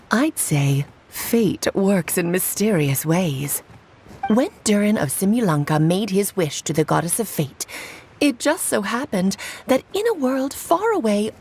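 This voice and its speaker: A woman playing a playful, mysterious, self-assured witch in a video game, with a teasing, theatrical, unhurried manner. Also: fairly high pitch, breathy edge, full character voice. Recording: soft game music under voice. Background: background music.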